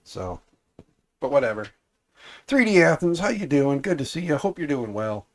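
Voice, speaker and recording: A man hosting a casual livestream, congested and raspier than usual, rambling and repeating himself as he talks to his chat. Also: fairly high pitch, sing-song, normal speed. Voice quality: raspy, congested. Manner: mild swearing, offhand. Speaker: livestream host.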